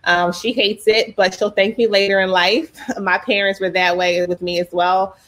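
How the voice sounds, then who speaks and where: A woman's voice: mid-range voice, flat delivery, moderate pace, fairly bright tone, rough recording. A woman, a mother and parent advocate, answering interview questions in a frank, casual way, often saying "you know" and "um.". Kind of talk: conversational interview.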